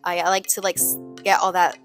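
A woman's voice: fairly low pitch, flat delivery, fairly quick, music in the background. A young woman in her twenties vlogging her meal prep, talking casually and slangily straight to a phone camera. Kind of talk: casual vlog chat. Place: grocery store, then home kitchen. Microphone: handheld phone camera at arm's length.

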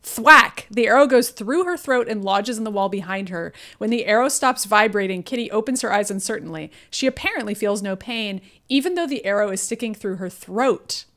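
A woman's voice: mid-range voice, animated, a little fast, few pauses, a bit bright. A woman reading script narration and giving casual, amused commentary on a video call. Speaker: stage directions reader and commentator on a live table read. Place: indoors at home on a group video call. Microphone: video call microphone.

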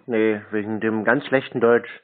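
A man's voice: lowish voice, fairly even intonation, normal speed, a little hiss or hum. Male, dry and provocative, unscripted phone conversation, narrowband audio. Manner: dry, stubborn, provocative questioning. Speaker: scambaiter taking scam calls. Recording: narrowband, dull phone-call sound.

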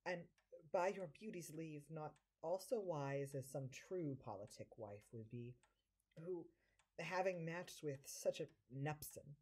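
A woman playing an impish devil posing as a fawning, scheming manservant in an audio drama, with flattering, theatrical delivery in the manner of early stage comedy. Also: low voice, a little slow, breathy edge, low-quality recording. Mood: sly, coaxing. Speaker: amateur actor.

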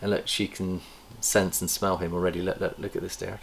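Deep gravelly middle-aged man. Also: little pitch movement, slight background noise. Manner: chatty, enthusiastic, pauses to recall details. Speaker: snake breeder host. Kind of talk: casual show-and-tell vlog. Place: indoor reptile room lined with tubs. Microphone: camera across a table.

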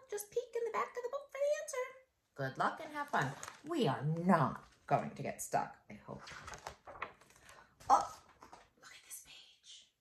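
A middle-aged woman, an elementary school teacher, reading a picture book aloud to young children in an expressive, encouraging way and pausing to ask them questions. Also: medium pitch, a little hiss or hum.

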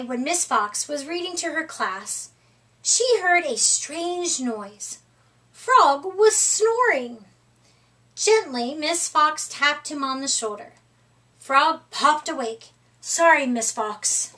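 A middle-aged woman reading a children's picture book aloud in an expressive, deliberate storytelling manner. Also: sits high. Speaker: storytime reader. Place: small room at home, indoors. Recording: slightly distant microphone.

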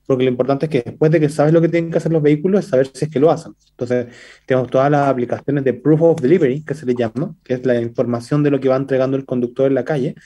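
A young man with a Chilean accent talks quickly and fluently over a video call, stringing his points together with fillers. His voice is dark and mellow. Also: steady tone. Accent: Chilean Spanish. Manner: fast, fluent pitch, many fillers like "entonces" and "eh". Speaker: startup CEO guest. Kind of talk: business interview by video call. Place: indoor room.

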